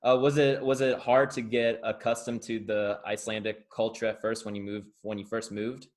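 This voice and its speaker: A man's voice: on the low side, flat delivery, normal speed. Hesitant male podcast host. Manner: hesitant, many uh fillers and restarts. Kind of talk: casual podcast interview.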